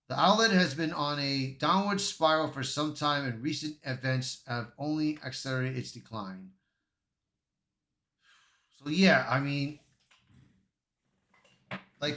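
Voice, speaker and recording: Echoey, higher-pitched man doing online commentary. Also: fairly bright tone. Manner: stumbles over words when reading. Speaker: YouTube commentator. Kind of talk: reading an article aloud with casual commentary. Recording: echoey untreated room.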